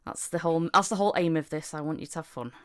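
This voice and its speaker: A woman's voice: deep voice, rapid-fire, a bit bright, clean tone. A woman podcast host with a Northern English, Yorkshire-tinged accent, chatting casually and rambling with many restarts. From Northern England. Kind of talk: casual chat.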